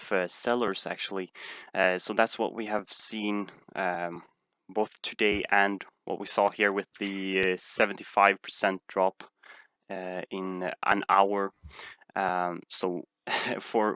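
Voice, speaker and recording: A man with a Swedish accent talks in a low, forceful voice, hesitating often and restarting his sentences. The sound is dry and close. He answers questions in a casual livestream. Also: clean tone, no echo. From Sweden. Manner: hesitant, many "uh" fillers and restarts. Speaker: stock market streamer.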